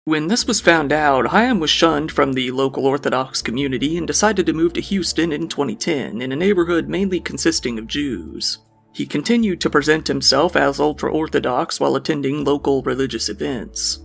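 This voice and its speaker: Gravelly man reading true crime over music. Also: on the high side. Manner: even, measured reading. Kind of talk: scripted true crime narration.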